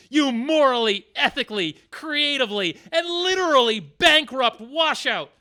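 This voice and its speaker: Exasperated melodramatic thespian male actor, audio comedy, unhurried declamation, very animated. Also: very high-pitched, exaggerated voice. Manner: declamatory, indignant. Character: exasperated, indignant actor who recites poems, grandly melodramatic. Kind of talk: comedic radio play acting.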